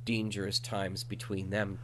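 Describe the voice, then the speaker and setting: A man's voice: low voice, little pitch movement, unhurried. A man hosting a conversational interview, talking in a reflective, discursive way. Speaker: podcast host, interviewer.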